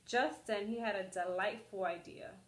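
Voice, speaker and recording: A woman reading a children's story aloud as an expressive storyteller. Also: medium pitch, normal speed, echoey room.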